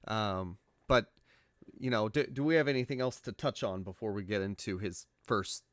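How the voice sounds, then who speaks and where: A man's voice: on the low side, moderate pace, a bit bright, dry recording. American man, dry close podcast voice. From United States. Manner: hesitant, restarts often, laughs along. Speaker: podcast co-host. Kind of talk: casual film podcast chat.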